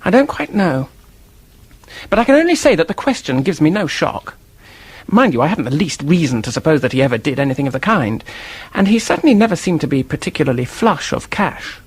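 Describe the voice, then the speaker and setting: An actor playing an earnest, defensive office worker in a radio mystery, husky-voiced, hesitant and blurting, with a temper underneath. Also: male voice, on the high side, sing-song, a little fast, no echo. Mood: frank, earnest. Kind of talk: radio drama acting, detective mystery.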